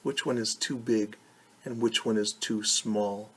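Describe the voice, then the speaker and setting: A man's voice: on the low side, steady tone, unhurried. A man teaching young children, reading a picture book aloud and patiently explaining it in simple terms with questions.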